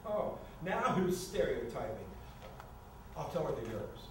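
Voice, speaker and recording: A man's voice: a little high, very animated, a little slow. Actor as flippant young man, echoing and distant. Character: flippant, pleading man nearly thirty, anxious about his mother. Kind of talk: staged screenplay reading. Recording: echoey, noisy room from far off.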